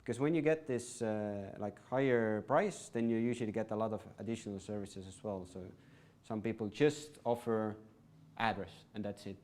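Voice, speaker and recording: A man gives an informal talk to a live audience. His voice sits a little low, and he speaks casually, leaning on fillers and often correcting himself mid-phrase. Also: normal speed, a little mellow. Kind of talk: informal presentation. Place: event venue, live audience.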